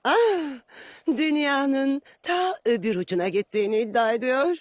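A woman's voice: high-pitched, measured pace, no echo, studio quality. A woman playing a curious, willful duchess in a dubbed cartoon, coaxing and imperious. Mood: intrigued, admiring. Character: curious, willful duchess eager for travellers' tales.

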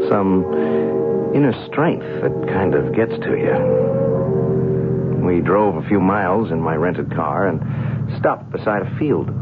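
An actor playing a brash, skeptical journalist in a 1970s radio drama, in dialogue and narration. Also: male voice, low voice. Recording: tinny vintage radio broadcast, music behind.

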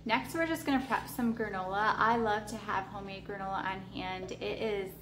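A woman casually talking through her meal prep in a home kitchen, relaxed and chatty with lots of ums. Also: medium pitch, moderate pace, slight background noise. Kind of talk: casual cooking vlog narration. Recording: echoey kitchen with clattering dishes. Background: clinking cutlery, dishes and pans.

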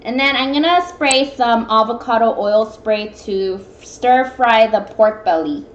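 A young woman with a high voice talks at an unhurried, slow pace, hosting a casual live cooking stream. She wanders informally through her chat, and the sound is echoey, as from a webcam across a table at home. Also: slight background noise. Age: young adult. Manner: informal, meandering, greeting viewers. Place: small home room, indoors at a table. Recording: echoey, dull webcam stream, background music.

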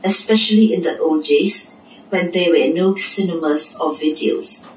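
A woman's voice: lowish voice, measured pace. A woman presenting a puppet show, reading scripted narration that introduces the dances. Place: indoor puppet theatre, heard from the audience. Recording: distant, echoey room with noise.

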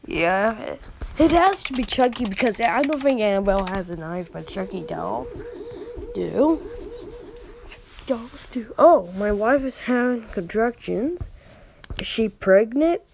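A woman's voice: a little high, expressive, a little slow, creaky, darkish tone, a little hiss or hum. A woman giving casual gameplay commentary, thinking aloud with exclamations and reading game text out. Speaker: gaming video host.

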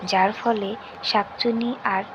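A woman's voice: mid-range voice, fairly even intonation, unhurried, background noise. A woman giving scripted narration for a cartoon folk tale.

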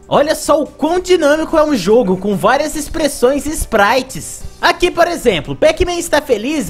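A woman with a Brazilian accent giving casual, playful scripted commentary as a chatty video game host. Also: high voice.

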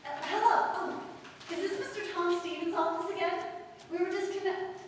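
A woman's voice: very high-pitched, fairly even intonation, moderate pace. A woman playing an anxious, pleading woman in an old-time radio drama, urgent, hesitant and earnest. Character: anxious, pleading woman confiding in and defending a spaceman. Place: live stage in a hall, distant audience camera. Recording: echoey hall stage from far off, noisy.

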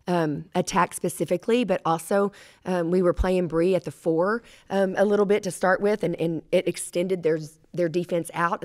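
A middle-aged woman coach answering press questions at a table mic, measured and full of ums and restarts. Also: lowish voice, darkish tone. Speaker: head basketball coach. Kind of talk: post-game press conference answers. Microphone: desk mic on a stand.

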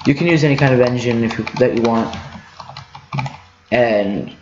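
Guy doing a game walkthrough, kinda slow n rambling, dull lo-fi mic with room echo. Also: medium pitch, darkish tone, slight background noise. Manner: halting, rambling, thinks aloud while working. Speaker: gaming tutorial host. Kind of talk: casual unscripted game walkthrough commentary. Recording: muffled lo-fi mic in echoey room.

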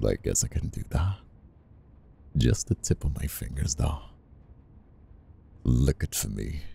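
A man playing a flirtatious, dominant boss in an intimate ASMR roleplay, murmuring slowly and breathily with a deep, gravelly voice. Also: expressive, mellow, slight background noise, heavily acted. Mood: seductive, commanding. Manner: slow, breathy murmuring with sighs. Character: flirtatious, conflicted male boss, dominant and teasing. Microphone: close ASMR microphone.

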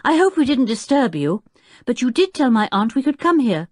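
Storybook role: courteous, earnest teenage lad acted by a woman, keen to placate, with animated pitch. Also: moderate pace, no echo, studio quality. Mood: startled, polite. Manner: polite, earnest. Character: polite, earnest, well-mannered teenage boy, eager to placate.

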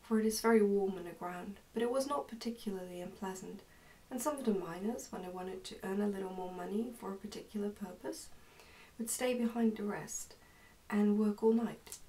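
A young woman reads a storybook aloud for ASMR. Her voice is relaxed and mellow, with a soft, whispery, careful delivery. She is recorded indoors, a little way off from the microphone. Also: medium pitch, a little fast, distant mic, poor audio. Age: young adult. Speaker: ASMR narrator reading a book aloud. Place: indoors, small plain room.

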